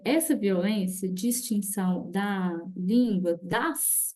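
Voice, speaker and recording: A woman presenting her dissertation research in a reflective academic talk, often pausing with small tag words. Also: medium pitch, warm tone. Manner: reflective, many fillers like "né" and "assim".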